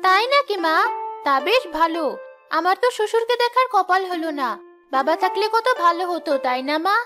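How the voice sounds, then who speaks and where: A woman's voice: very high voice, expressive, brisk, music in the background. A woman playing a sweet, dutiful young wife and daughter-in-law in a Bengali cartoon. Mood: sweet, curious.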